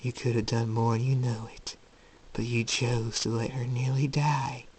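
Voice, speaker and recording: A man's voice: mid-range voice, little pitch movement, moderate pace, darkish tone, slightly rough, no echo, far from the mic, natural voice. A man voicing an insane, menacing inner voice that taunts its victim in an amateur story reading. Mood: cold, accusing. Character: insane sinister voice in a tormented young man's head, taunting.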